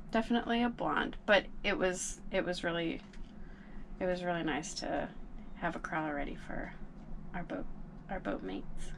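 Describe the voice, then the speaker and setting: A young woman sitting in a small boat cabin, casually reviewing craft beer with a relaxed, laughing manner. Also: fairly low pitch, a little hiss or hum. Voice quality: relaxed, laughs often, drawn-out fillers.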